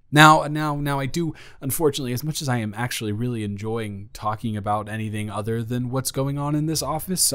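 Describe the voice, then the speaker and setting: A man playing a friendly, flustered office boss in an improvised roleplay audio, talking to a new intern in a halting, rambling way with stammered restarts. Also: mid-range voice, clear, resonant tone, lightly acted. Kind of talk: improvised romantic roleplay audio, addressed to the listener.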